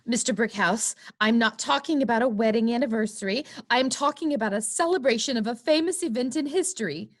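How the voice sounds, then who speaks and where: A woman's voice: on the high side, brisk, few pauses, close to an everyday voice. An actress playing a prim, earnest young woman in a comic noir play, speaking precisely and insistently like a schoolteacher. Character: prim, earnest young woman client, a former teacher turned lawyer.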